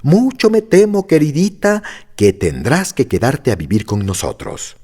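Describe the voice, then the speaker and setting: A man voicing a kindly little old witch woman who speaks in a gentle, measured way. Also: medium pitch, huge pitch swings, voice pushed up.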